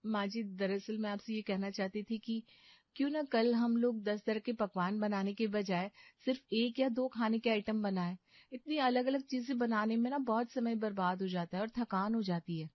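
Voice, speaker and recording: A woman's voice: on the high side, moderate pace, dry recording, lo-fi sound, lightly acted. A woman voicing a young daughter-in-law in a cartoon story, polite and crafty with some exasperation. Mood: coaxing. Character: determined, coaxing young Indian daughter-in-law. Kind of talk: cartoon moral story acting.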